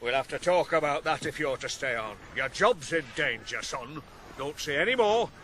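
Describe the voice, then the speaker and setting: A man's voice: fairly high pitch, expressive, moderate pace, a bit bright, a little hiss or hum. A male actor playing a stern, blunt middle-aged farm boss in a radio drama, scolding as he speaks. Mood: angry, scolding. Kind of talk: 1980s radio drama acting.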